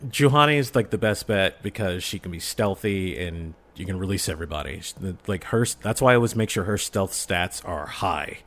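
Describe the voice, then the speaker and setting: Low-voiced man chatting earnestly. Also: expressive, moderate pace, hardly any pauses, a bit bright. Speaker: podcast guest. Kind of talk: casual fan discussion.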